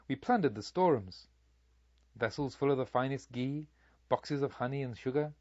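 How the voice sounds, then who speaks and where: A man's voice: mid-range voice, no room sound. Dry, slightly dull-sounding man narrating a story. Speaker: audiobook narrator. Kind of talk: scripted literary narration.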